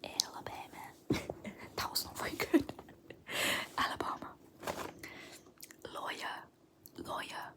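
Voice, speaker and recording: A young British woman whispering softly in an ASMR video, repeating words slowly with small laughs. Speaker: ASMR creator. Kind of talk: whispered ASMR word pronunciation.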